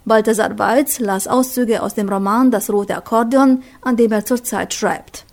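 Woman reading a radio report. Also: on the high side. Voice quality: even, measured read. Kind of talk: scripted news narration.

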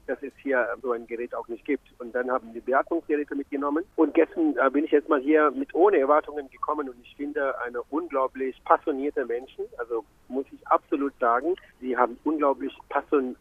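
Husky man on a tinny line. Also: medium pitch, no room sound. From Sri Lanka. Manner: earnest, rambling, with many restarts. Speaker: visiting doctor. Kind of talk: interview answer.

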